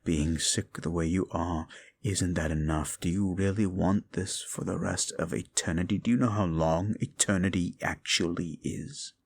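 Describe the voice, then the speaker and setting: A man voicing a bitter, ancient fallen demon who speaks scornfully to a listener in an audio drama, dropping to hushes and rising to shouts. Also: low voice, fairly even intonation, normal speed, gravelly, husky, full character voice. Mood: cold. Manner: contemptuous, swings from hushed to shouting.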